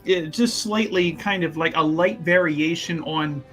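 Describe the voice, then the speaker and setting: Male tabletop player, slightly high voice, improvising story casually with emphatic, repetitive asides, faint music behind. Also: a little slow. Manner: animated, emphatic, rambling and repetitive. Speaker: player in a tabletop roleplaying game.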